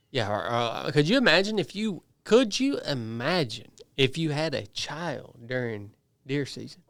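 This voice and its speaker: A man's voice: medium pitch, very animated, unhurried, a bit bright, no echo, clean studio sound. A man in his thirties, a podcast co-host, arguing his point emphatically in casual group chat. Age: 30s. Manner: emphatic, defends his views at length. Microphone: studio podcast mic.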